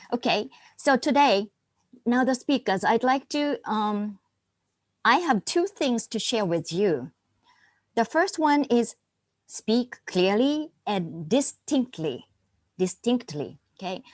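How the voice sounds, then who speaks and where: A woman's voice: on the high side, a little mellow, no room sound, studio quality. A woman giving general comments as a contest judge, teacherly and encouraging, asking the audience questions.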